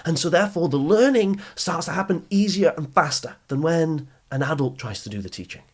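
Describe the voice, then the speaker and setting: A man hosting a motivational advice talk for teenagers, speaking in an emphatic, deliberate teaching manner that stresses key phrases. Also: a little high, expressive. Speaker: podcast host and youth coach.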